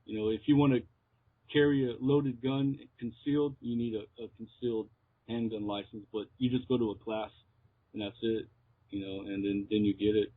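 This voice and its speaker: A man from Texas with an American accent, chatting casually and haltingly as he is interviewed as a musician. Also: lowish voice, fairly even intonation. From Texas, United States. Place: remote call. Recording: muffled, tinny phone line.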